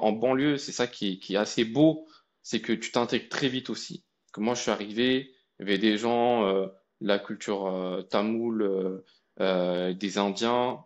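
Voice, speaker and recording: Relaxed young man, low voice. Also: lo-fi sound. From India. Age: young adult. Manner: relaxed, colloquial, many fillers and restarts. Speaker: guest, AI researcher. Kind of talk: casual conversation.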